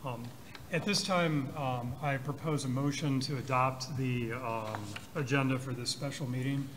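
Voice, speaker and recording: A man's voice: mid-range voice, fairly even intonation, measured pace. A man chairing a formal city board meeting, speaking hesitantly with many ums and uhs. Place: city council chamber. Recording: noisy public meeting audio.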